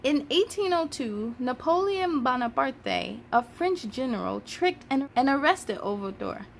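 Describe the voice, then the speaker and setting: A woman's voice: high-pitched, animated, measured pace, gravelly, a little hiss or hum, exaggerated voice. A woman reading the part of a patient, encouraging history teacher in a children's storybook, with instructive emphasis. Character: female history teacher, patient, encouraging, explaining to her pupils.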